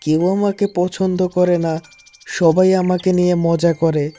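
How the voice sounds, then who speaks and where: A woman's voice: fairly low pitch, flat delivery, a little slow, warm tone, soft background music, close to an everyday voice. A woman voicing a sad, mocked little cow in a children's cartoon, lamenting plaintively. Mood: sorrowful. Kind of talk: Bengali children's cartoon dubbing.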